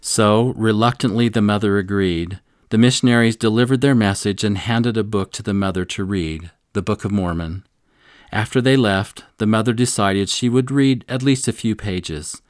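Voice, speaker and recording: A man co-teaching a scripture lesson, earnest and measured, slowing down to stress key points and sharing stories from his own life. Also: fairly low pitch, no echo, clean studio sound. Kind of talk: devotional teaching with personal stories.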